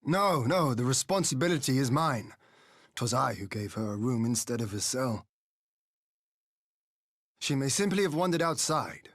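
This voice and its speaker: An actor playing a composed, responsible young lord in a dramatic game scene, speaking formally and decisively. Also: male voice, medium pitch, animated, moderate pace, long pauses, no echo, studio quality. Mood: apologetic, steady.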